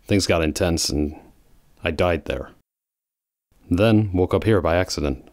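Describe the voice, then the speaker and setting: A man's voice: sits low, a little slow, a little mellow, no room sound, studio quality. A man playing a brooding, guarded male hedgehog in a fan audio drama, speaking curtly and seriously. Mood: grim.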